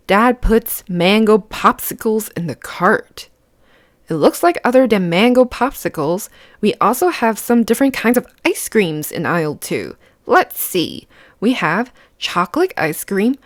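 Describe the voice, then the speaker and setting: A woman's voice: medium pitch, no room sound, studio quality. A woman reading a picture book aloud to children, explaining simply and putting questions to the listener. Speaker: children's read-aloud host.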